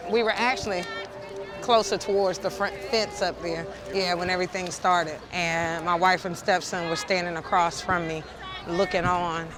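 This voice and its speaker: A man's voice: high-pitched, steady tone, moderate pace. A man speaks with emotional intensity as he recounts events. He is being interviewed outdoors on a city street. Music sits under his voice. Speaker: protester. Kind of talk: interview testimony.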